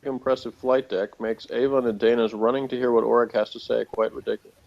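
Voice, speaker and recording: A man's voice: mid-range voice, level tone, brisk, gravelly, darkish tone. A man hosting a casual review chat, reading out notes and commenting on them with chuckles. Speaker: review show host. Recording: slightly dull, lo-fi sound.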